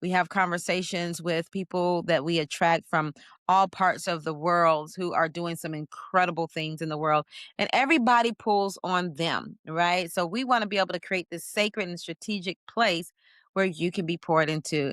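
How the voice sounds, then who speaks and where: A woman hosting an interview podcast, speaking with energetic, emphatic, preacher-like emphasis. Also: fairly low pitch, normal speed, dry recording, clean studio sound. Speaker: podcast host.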